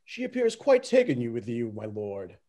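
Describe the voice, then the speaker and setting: A man's voice: mid-range voice, huge pitch swings, normal speed, dark tone. A man voicing a servile, exaggeratedly deferential wizard henchman in an amateur script reading. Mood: oily deference.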